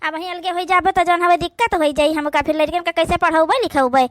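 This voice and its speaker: A very high, closely recorded voice with an Awadhi accent opens up this meddling young sister-in-law played by a woman. She is a gossipy cartoon schemer who presses her point insistently to stir up family quarrels. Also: fairly even intonation, brisk, a bit bright, no room sound, clean studio sound. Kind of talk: village cartoon dialogue.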